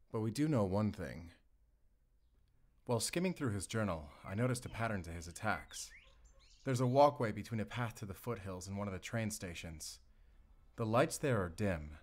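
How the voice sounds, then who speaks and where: A low-pitched male wolf hunter, played by an actor in a cinematic audio roleplay. He is methodical and grim, with dry wit. His delivery is measured and formal, addressed straight to the listener. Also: close to an everyday voice. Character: male wolf hunter agent, calm, methodical, grimly wry.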